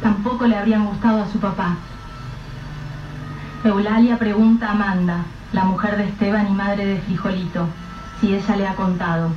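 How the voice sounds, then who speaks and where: A woman narrating a radio drama in a measured, grave storytelling manner. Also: medium pitch, steady tone, slight background noise. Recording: roomy, dull, distant mic with soft music.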